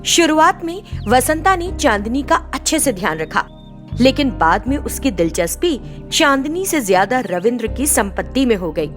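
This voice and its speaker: A woman's voice: sits high, sing-song, normal speed, soft background music. A woman giving a scripted narration of a moral story.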